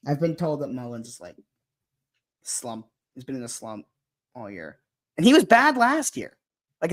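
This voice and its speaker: Chatty young Baltimore guy on sports. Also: on the high side. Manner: chatty, stumbles and restarts often. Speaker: podcast co-host. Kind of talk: casual sports chat, live game updates.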